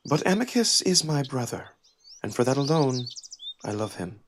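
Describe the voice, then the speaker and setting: A man voicing a stern, guarded she-wolf noblewoman with a measured, cutting, skeptical manner. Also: mid-range voice, sing-song, slight background noise, lightly acted. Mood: guarded, steady.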